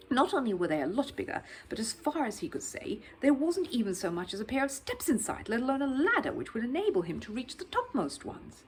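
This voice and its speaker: A woman reading a children's story as an expressive, dramatic narrator. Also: mid-range voice, a little hiss or hum. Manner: animated, dramatic.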